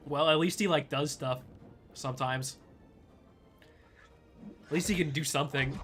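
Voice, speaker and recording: A young man streaming a game, chatting casually in a laid-back, chuckling way with a fairly high-pitched voice. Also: unhurried, a bit bright, soft background music. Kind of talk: casual live gameplay commentary.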